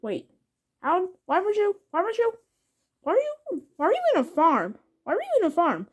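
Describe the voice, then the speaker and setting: A woman's voice: wildly swooping pitch, moderate pace, a little mellow, heavily acted. A woman voicing a panicky cartoonish man who stammers and begs in a raised voice. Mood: baffled. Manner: frantic, stammering repetitions, high-pitched begging. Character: panicky pleading cartoonish man who fears being grounded. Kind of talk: improvised comedy skit.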